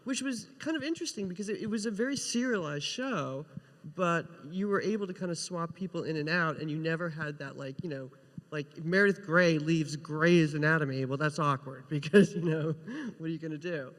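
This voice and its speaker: A man's voice: on the high side, fairly quick, a bit bright. A man chatting casually on a panel as a TV writer, often rephrasing mid-sentence. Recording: reverberant hall.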